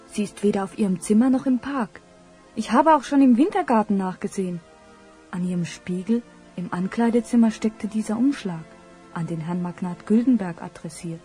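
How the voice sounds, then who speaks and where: A woman's voice: medium pitch, normal speed, warm tone, faint music behind, lightly acted. A woman playing a polite, anxious household servant with a deferential manner in a children's audio drama. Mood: worried.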